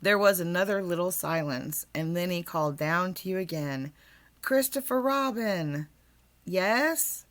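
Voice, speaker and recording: A woman's voice: on the low side, fairly even intonation, normal speed, hardly any pauses. A woman reading a children's storybook aloud as storyteller, expressively, with asides to young listeners.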